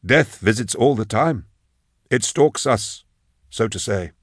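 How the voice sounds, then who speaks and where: A man voicing a slender, formal undertaker in an audiobook, measured, deferential and mournful. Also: on the low side, expressive, dry recording, clean studio sound, voice pushed up. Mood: grave.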